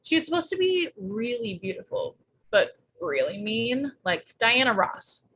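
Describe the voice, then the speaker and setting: Blunt teen girl, played by a woman, sharp forceful tone, slightly high, quick with wisecracks, table-read drama. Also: big pitch swings, slight background noise. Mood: dry, chatty. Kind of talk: live script table read.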